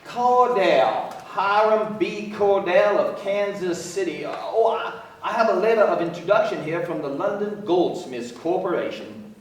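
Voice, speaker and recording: A man's voice: high voice, animated, a little slow, recorded from a distance. An actor playing a brash, folksy American businessman in a radio play, talkative and slangy. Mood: genial, introducing himself. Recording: very echoey room, off mic.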